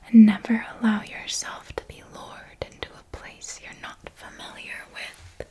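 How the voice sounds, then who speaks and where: A woman voicing a seductive, menacing elder vampire mentor in a breathy, deliberate ASMR whisper, with possessive, condescending affection. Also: mid-range voice, close to an everyday voice.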